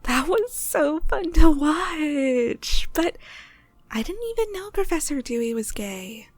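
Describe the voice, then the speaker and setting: A woman playing a gushing, gossipy schoolgirl in an animated roleplay. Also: sits high, slow, no echo, soft background music, full character voice. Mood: gossipy, amused. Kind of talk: scripted animated roleplay acting.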